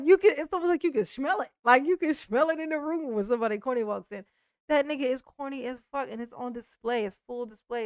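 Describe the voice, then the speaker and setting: A woman's voice: sits high, dry recording, studio quality. A woman in her forties chatting casually on a live stream, speaking bluntly and profanely with frequent restarts and laughs. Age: 40s.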